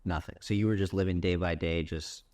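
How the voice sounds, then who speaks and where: Very deep-voiced young man hosting. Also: steady tone, fairly quick, darkish tone. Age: 20s. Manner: thoughtful, probing questions with restarts. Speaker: podcast host. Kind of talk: casual interview chat.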